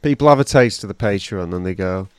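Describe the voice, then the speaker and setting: Man, podcast co-host, playful teasing, lively pitch, casual banter. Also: fast, clear, resonant tone. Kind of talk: casual chat.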